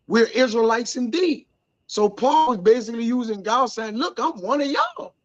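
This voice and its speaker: High-voiced man teaching Bible, muffled audio. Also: expressive, normal speed. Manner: colloquial, prompts listeners with questions. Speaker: Bible study elder. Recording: dull lo-fi audio.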